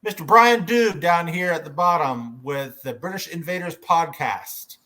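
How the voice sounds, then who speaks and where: A man hosting a fan panel in casual conversation, with a hesitant, halting delivery. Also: on the high side, bright, forceful tone.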